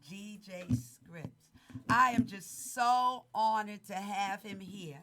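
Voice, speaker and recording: A woman's voice: mid-range voice, slow pace, fairly bright tone. A woman in her fifties hosting a radio interview, welcoming her guest with enthusiasm and chatting casually. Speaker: radio host.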